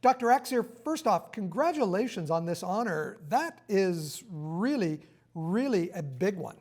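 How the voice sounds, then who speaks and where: A man's voice: high voice, very animated, unhurried, a little mellow. Middle-aged man hosting an interview. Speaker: TV interview host. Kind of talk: scripted broadcast interview. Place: TV studio.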